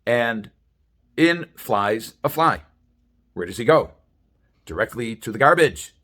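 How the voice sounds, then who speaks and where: A man speaking as a rabbi giving a religious sermon, explaining sources in a halting, deliberate way with frequent hesitations. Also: mid-range voice, expressive, pushed and bright.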